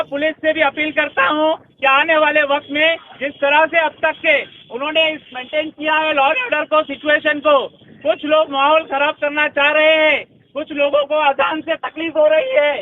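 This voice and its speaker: A man with an Indian accent delivers a fiery speech in a very high, strained-up pitch. The recording is muffled and lo-fi. He hammers his phrases defiantly, repeating them for emphasis. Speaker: organisation leader addressing supporters.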